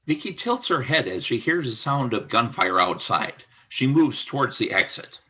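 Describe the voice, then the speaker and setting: Husky, forceful male radio play narrator. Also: mid-range voice, very animated, moderate pace. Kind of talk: scripted radio drama narration.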